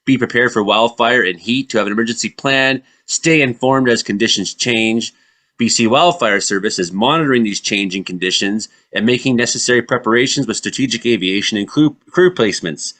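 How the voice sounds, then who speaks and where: A man's voice: on the low side, bright, forceful tone. A Canadian man from southern Ontario hosting a casual weather talk show on radio.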